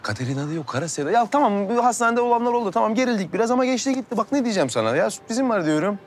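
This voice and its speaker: Brash, high-pitched, fast-talking suitor. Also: male voice, big pitch swings, a little hiss or hum. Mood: teasing. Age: 30s. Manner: pushy, emphatic, colloquial. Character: brash, insistent suitor in his thirties, impulsive and cocky. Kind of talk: television drama acting. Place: outdoors on a city street.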